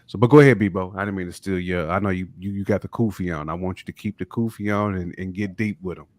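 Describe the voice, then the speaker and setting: Low-voiced man, dry close sound. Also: fast, darkish tone, dry recording. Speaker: podcast co-host. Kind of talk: casual discussion.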